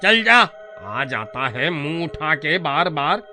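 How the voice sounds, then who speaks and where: A man's voice: on the high side, sing-song, takes it slowly, over loud music. A man voicing a hostile village uncle, a cartoon villain with a contemptuous, scolding manner. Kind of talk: animated story acting.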